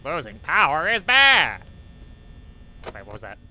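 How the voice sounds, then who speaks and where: A man's voice: a little high, wildly swooping pitch, measured pace, pushed and bright, slight background noise, heavily acted. A man voicing a cartoonish, creepy schoolteacher who talks theatrically to himself while commentating on a horror video game. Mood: anxious. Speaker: gaming video host.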